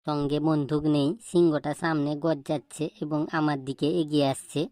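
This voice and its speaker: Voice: medium pitch, level tone, moderate pace, a little mellow, no echo, clean studio sound, natural voice. A performer voicing a cartoon comedy character in a declarative, storytelling manner. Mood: boastful. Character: boastful joking schoolboy and stern classroom teacher.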